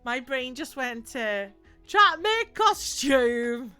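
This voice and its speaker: A woman hosts a relaxed live stream, unhurried and full of "like" and "um". Her voice sits fairly high and comes across bright and forward. Soft music plays faintly behind her. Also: smooth. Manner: chatty, laughs often, many fillers. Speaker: streamer host. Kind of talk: casual chat on a live stream.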